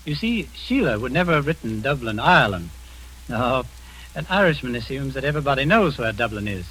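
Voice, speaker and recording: A man's voice: mid-range voice, sing-song, fairly quick, pushed and bright, rough recording. A wry intelligence man. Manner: dryly amused, genial and assured. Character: calm, wry British intelligence man, genial. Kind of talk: 1940s radio acting. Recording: old radio broadcast with hiss.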